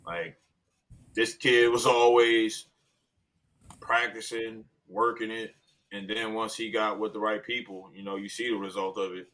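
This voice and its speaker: An American man talks as a panelist on a casual sports show. His voice is on the low side but sharp and forceful. He is emphatic, stacking repeated phrases to stress each point. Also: little pitch movement, a little slow, low-quality recording.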